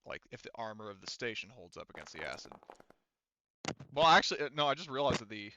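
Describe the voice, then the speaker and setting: Male game master, casual and profane, smooth tone, close dry sound. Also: medium pitch, big pitch swings, quick pace, sharp tone, no room sound, low-quality recording. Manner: informal, swears freely. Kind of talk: casual tabletop game narration and rules talk.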